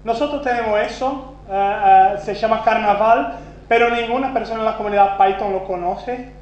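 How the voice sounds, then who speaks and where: Brazilian man giving a halting conference talk. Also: sits high, a little mellow. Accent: Brazilian Portuguese. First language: Portuguese. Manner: self-deprecating, halting with many restarts. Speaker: conference speaker. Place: conference hall. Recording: roomy, dull lo-fi sound with faint hum.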